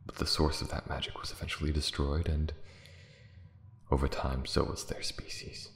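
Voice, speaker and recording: An extremely low-pitched dragon, acted by a man, proud and bitter, speaks in a fantasy roleplay. He broods and sneers theatrically, often sinking into a hushed, breathy murmur. The sound is roomy and echoing, with faint noise behind it. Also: little pitch movement, moderate pace. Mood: proud, wistful. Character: proud, bitter male dragon, last of an ancient race. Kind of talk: fantasy ASMR roleplay monologue.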